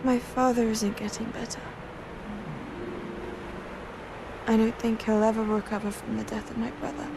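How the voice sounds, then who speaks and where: A woman's voice: a little high, little pitch movement, a little fast, noisy background, lightly acted. A woman playing a fervent, determined young witch and professor in a dramatic video game scene. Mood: pained, pleading. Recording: orchestral music behind the voice.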